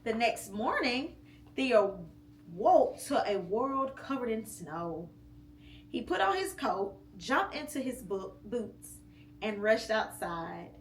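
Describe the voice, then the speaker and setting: A mother reads a storybook aloud at home, taking her time and shaping each phrase with slow, deliberate pauses. Her voice sits a little high for a woman. The room is echoey, with a faint hum underneath. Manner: slow, expressive reading with pauses. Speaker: mother reading to her child.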